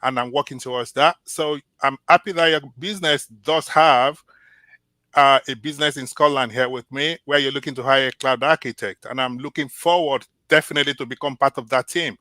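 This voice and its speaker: A man from Nigeria, a Yoruba speaker by birth, answers interview questions earnestly and with care. His voice is bright and forceful, and the recording sounds close and dry. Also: medium pitch, steady tone, dry recording. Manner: earnest, measured, with restarts. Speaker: job candidate. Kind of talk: mock job interview answers.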